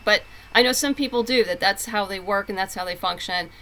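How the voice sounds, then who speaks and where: A woman's voice: medium pitch, fairly quick, fairly bright tone. A woman speaking as a writer guest in a casual interview, candid, emphatic and plain-spoken.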